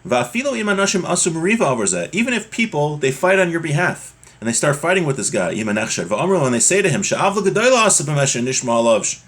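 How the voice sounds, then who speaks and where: A man's voice: fairly high pitch, big pitch swings, quick pace, fairly bright tone, slightly rough. A man giving an explanatory religious lesson, reading Hebrew text and translating it into English in a conversational way with examples. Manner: conversational, explains with hypothetical examples and acted-out quotes. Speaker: religious teacher giving a Torah class.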